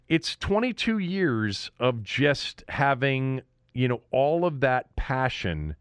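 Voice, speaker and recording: An American man hosts an opinionated sports talk show, speaking straight to his listeners. His medium-pitched voice rises and falls in a very animated way. He leans on words and restates phrases for emphasis, and the sound is dry, with no room around it. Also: no room sound. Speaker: sports podcast and radio host.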